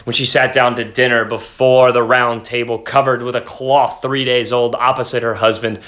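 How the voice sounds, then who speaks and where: A man's voice: medium pitch, flat delivery, normal speed, fairly bright tone. A man speaking as a teacher, in an informal, explanatory lesson style with dramatic read-aloud of a story. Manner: explanatory, breaks off reading to paraphrase in plain words.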